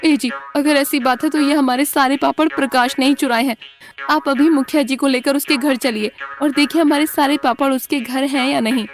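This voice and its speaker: A woman's voice: high voice, fairly even intonation, moderate pace, faint music behind, pitched above the performer's own voice, lightly acted. A woman voicing an anxious village wife in a cartoon story, urgent and imploring. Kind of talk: Hindi cartoon story acting.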